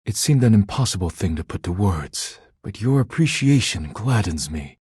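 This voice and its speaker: A man playing a proud, thin-skinned poetic living dish in a video game, speaking in a formal, deliberate, grave manner. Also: fairly low pitch, sing-song, darkish tone, slightly rough, dry recording, studio quality, full character voice. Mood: earnest, touched. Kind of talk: video game character acting.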